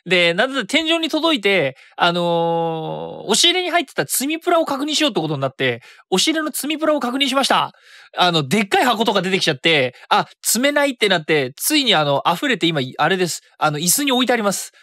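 Animated, fast-talking radio host. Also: medium pitch, no echo, studio quality. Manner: chatty, self-correcting, thinks aloud. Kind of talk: casual solo radio chat about a hobby.

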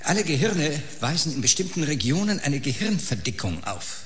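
A man's voice: a little high, very animated, moderate pace, fairly bright tone. Echoey, eager, ruthless male researcher. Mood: eager, insistent. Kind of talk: audio drama acting. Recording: echoey space, faint ambient noise.

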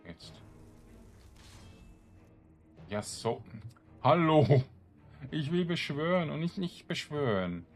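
A man's voice: a little high, very animated, takes it slowly, pauses often, faint music behind. A man giving casual let's-play commentary, grumbling in exasperation and muttering to himself as he plays. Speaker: video game streamer.

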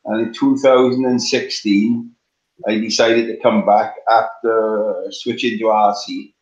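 Halting Welsh man on a video call. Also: lowish voice, little pitch movement, darkish tone. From Wales. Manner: halting, many ums and restarts. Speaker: guest, competition flyer. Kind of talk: casual video call chat. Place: home living room, on a couch. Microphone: webcam on a video call. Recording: roomy, dull lo-fi webcam sound.

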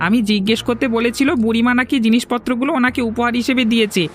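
Man acting a suspicious, high-voiced village lad. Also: fairly even intonation, quick pace, soft background music. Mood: earnest. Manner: accusing, insistent. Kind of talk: cartoon voice acting.

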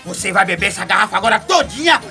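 A raging, vengeful bully in a radio soap, with a Northeastern Brazilian accent. His voice is extremely high and sharp as he yells commands, with music behind. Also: big pitch swings, very fast, heavily acted. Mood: furious. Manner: shouting, aggressive, commanding. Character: furious bullying man out for revenge. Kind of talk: radio soap opera acting.

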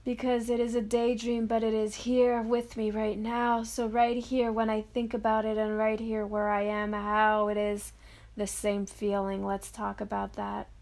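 A woman leading a guided meditation of affirmations, speaking softly and dreamily in drawn-out phrases with long pauses. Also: mid-range voice, completely flat delivery.

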